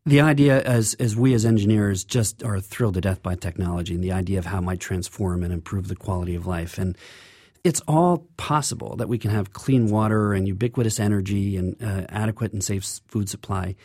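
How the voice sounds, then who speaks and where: A man's voice: fairly low pitch, a little fast, darkish tone, no room sound, studio quality. A man speaking as an engineer guest in an interview, explaining things in an earnest way.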